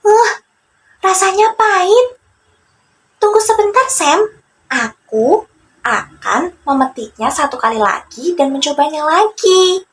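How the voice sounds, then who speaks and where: A very high-pitched, whiny monkey played by a woman storyteller from a children's fable. He is greedy and sly, drawing his words out slowly with exaggerated exclamations. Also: big pitch swings, a bit bright, exaggerated voice. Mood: sly, complaining.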